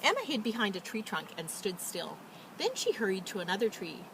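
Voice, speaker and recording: A woman reading aloud as a children's storyteller, with expressive, dramatic emphasis and casual delivery. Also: medium pitch, vocal fry, background noise. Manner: animated, dramatic emphasis and drawn-out words.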